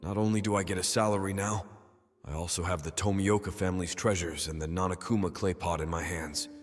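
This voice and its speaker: A man's voice: sits low, fairly even intonation, brisk, soft background music. An actor playing a smug, sly thief posing as a servant in an anime-style dub, with reverb on his voice. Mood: smug, scheming. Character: scheming male thief posing as a mansion servant.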